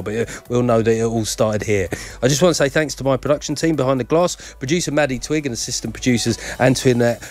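A man's voice: medium pitch, a little mellow. A man hosting a radio quiz show, chatty and rambling, often breaking off mid-sentence. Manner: chatty, rambling, self-interrupting. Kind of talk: live radio presenting. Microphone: studio mic.

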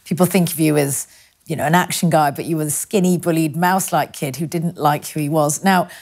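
A woman's voice: low-pitched, fairly even intonation, brisk, vocal fry, a little mellow. A woman interviewing an actor, chatty and enthusiastic as she reads out quotes and asks questions.

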